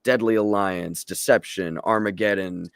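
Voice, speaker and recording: A low-voiced man chats casually as a podcast co-host. The sound is dry and close, and he talks like a devoted fan, loose with his swearing. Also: fairly even intonation, unhurried, creaky, clean tone, dry recording. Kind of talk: casual live podcast chat.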